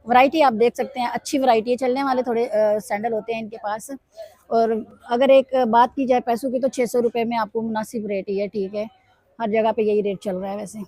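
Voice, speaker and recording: A woman vlogger, hoarse from a sore throat, walking through market stalls and pitching bargains to her viewers. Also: steady tone, brisk, mellow, no echo. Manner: repeatedly addresses viewers, upbeat sales pitch. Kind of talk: casual walkthrough commentary. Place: busy weekly market stalls.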